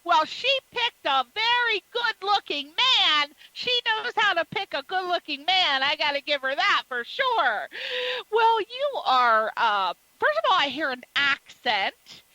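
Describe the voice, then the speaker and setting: A woman's voice: extremely high pitch, very animated, a little slow, sharp tone, no room sound, rough recording. A woman hosting a radio interview show, gushing with praise and chatting casually with her guest.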